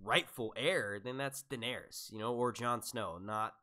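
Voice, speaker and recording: A man's voice: mid-range voice, measured pace, pushed and bright. A man hosting a casual podcast chat, rambling with lots of fillers. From United States. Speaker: podcast host.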